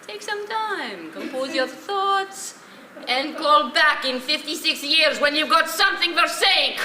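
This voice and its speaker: A woman playing a sardonic old woman, high-voiced. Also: expressive, unhurried, sharp tone, heavily acted. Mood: scornful. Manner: dry sarcasm, scolding, Yiddish-flavoured phrasing. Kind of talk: stage play acting, dramatic dialogue. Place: stage in a theatre, distant microphone. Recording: echoey, noisy theatre recording from far off.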